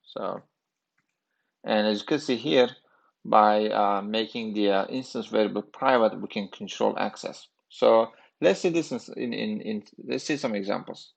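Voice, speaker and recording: A man in his thirties giving a screencast programming lesson, halting and thinking aloud with fillers as he types. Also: on the low side, vocal fry. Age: 30s. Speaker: programming instructor.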